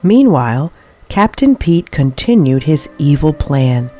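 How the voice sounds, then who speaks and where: Low-voiced, animated woman reading a storybook. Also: unhurried, soft background music. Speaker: storybook reader. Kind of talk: expressive children's read-aloud narration.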